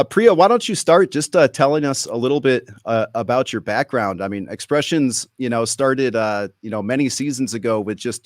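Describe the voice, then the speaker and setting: A man hosts a live streamed show from a broadcast studio, his voice close and dry. He speaks at a middling pitch, and his easy, friendly talk is full of fillers and restarts. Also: no room sound. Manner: friendly, many fillers and restarts when unscripted. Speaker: host. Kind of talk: hosting a live streamed interview. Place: station digital studio.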